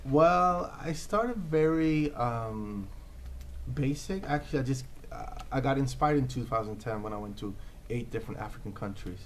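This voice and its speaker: A man's voice: mid-range voice, animated, moderate pace, a little hiss or hum. A man in his thirties from Norway, answering interview questions in an earnest, hesitant way with many fillers and restarts. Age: 30s. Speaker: interview guest, comic book creator.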